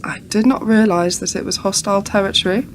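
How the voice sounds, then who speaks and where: An actress playing a terse, suspicious secret agent in a radio play, answering in clipped phrases. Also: female voice, fairly even intonation, fairly quick, lightly acted. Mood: guarded. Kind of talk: radio drama acting. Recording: very noisy, vehicle-like background rumble.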